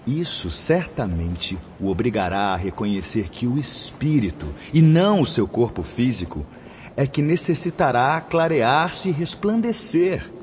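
An actor playing a charismatic, solemn spiritual teacher in a radio drama, preaching formally and eloquently with a Brazilian accent. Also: male voice, mid-range voice, very animated, unhurried, a little mellow. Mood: stern, impassioned. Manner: preachy, formal, oratorical delivery. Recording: dull lo-fi audio with background noise.

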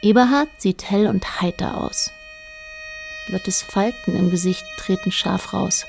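An actress playing a detached, observant narrator in a radio drama, speaking in a measured, matter-of-fact way. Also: female voice, mid-range voice, gravelly, a little mellow, faint music behind. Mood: sober.

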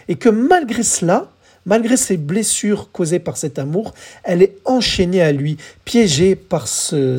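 A woman's voice: lowish voice, warm tone, breathy edge. A woman hosting a podcast in a conversational explainer style, chatty, correcting herself and addressing listeners directly. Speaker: podcast host presenting songs.

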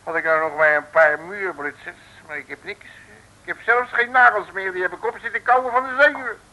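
An actor playing a cheeky, cowardly Dutch detective who grumbles melodramatically in slang in an old comic radio serial. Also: male voice, high-pitched, brisk, bright, forceful tone, low-quality recording. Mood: gloomy panic.

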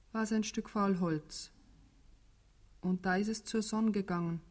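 A woman acting a melancholy female figure in a radio play. She speaks slowly and solemnly, in plain simple phrasing, at a medium pitch for a woman. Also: lightly acted. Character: melancholy woman telling a bleak fairy tale. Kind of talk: theatrical radio drama.

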